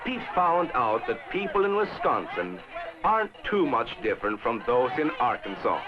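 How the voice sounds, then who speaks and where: Animated actor as a riverboat storyteller, old film. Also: male voice, medium pitch, moderate pace, natural voice. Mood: dramatic storytelling. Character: towboat man narrating a river voyage to a boy. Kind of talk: scripted 1960s educational film narration. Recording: dull old film soundtrack. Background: music.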